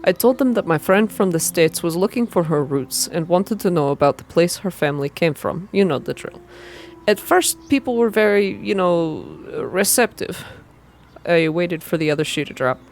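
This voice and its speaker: Soft ASMR game reader over noise. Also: mid-range voice, expressive, moderate pace. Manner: informal asides between narrated passages. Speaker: streamer reading a visual novel aloud. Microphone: close microphone. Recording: clear background noise.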